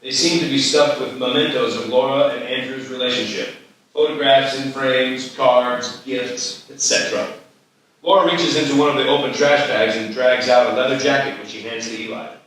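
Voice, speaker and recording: Bright-voiced male narrator in echoey hall. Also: medium pitch, normal speed. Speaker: stage direction narrator. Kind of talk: scripted narration at a live table read. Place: live festival reading in an echoey room. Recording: echoey hall, distant mic, faint noise.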